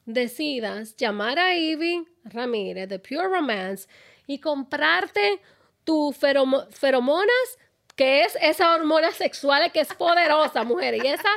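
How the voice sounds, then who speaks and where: A woman's voice: high-pitched, lively intonation, unhurried, gravelly, fairly bright tone. A woman with a Dominican accent hosting a podcast, chatting enthusiastically with her guest. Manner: enthusiastic, affirming interjections. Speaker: podcast host. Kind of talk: casual podcast chat.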